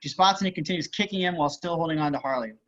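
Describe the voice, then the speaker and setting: A man's voice: on the high side, very fast, a bit bright. A man narrating stage directions for a screenplay table read over a video call. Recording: slightly dull video-call audio.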